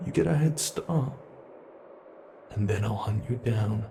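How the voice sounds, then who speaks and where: A British man voicing a predatory male monster from under the bed in a horror roleplay. He speaks slowly and playfully, taunting his prey and dropping to whispers. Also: lowish voice, expressive, vocal fry, noisy background. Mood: gleeful, predatory.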